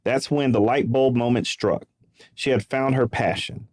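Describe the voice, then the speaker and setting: A man's voice: mid-range voice, fairly even intonation, measured pace, a little mellow. A man hosting a podcast interview, upbeat and chatty, with loose casual phrasing. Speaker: podcast host.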